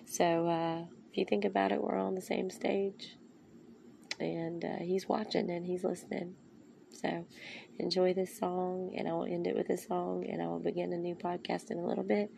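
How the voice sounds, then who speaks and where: A woman hosting a podcast, speaking in an earnest, rambling, heartfelt way with a soft, soothing voice. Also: lowish voice, level tone, normal speed, vocal fry, slight background noise. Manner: earnest, rambling, with restarts and asides. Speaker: podcast host. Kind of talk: heartfelt motivational monologue.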